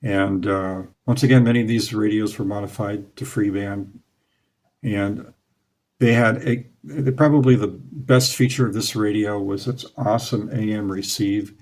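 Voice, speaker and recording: A man's voice: on the low side, steady tone, unhurried, darkish tone. A middle-aged man giving a casual, knowledgeable talk over slides from a small indoor room, with frequent fillers. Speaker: radio hobby host.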